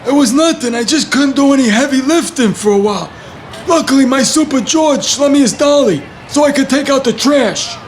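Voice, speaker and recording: A male actor plays a good-hearted construction worker with a New York accent and a strikingly high voice for a man. He rambles earnestly and stays unfazed, as in an audio play. Light street noise sits behind him. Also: a little fast. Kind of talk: scripted audio play dialogue.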